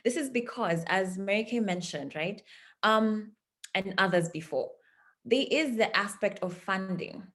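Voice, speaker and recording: A woman's voice: mid-range voice, a bit bright. Kenyan woman presenting on a video call. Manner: earnest, measured, with frequent fillers. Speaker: founder of a nonprofit, presenter. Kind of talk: online conference presentation.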